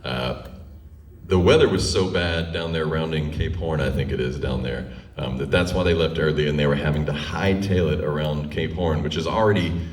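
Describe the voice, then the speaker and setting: A man telling a personal story in a conversational, comic style, with dramatic pauses and animated retelling. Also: extremely low pitch. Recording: roomy echo, distant microphone.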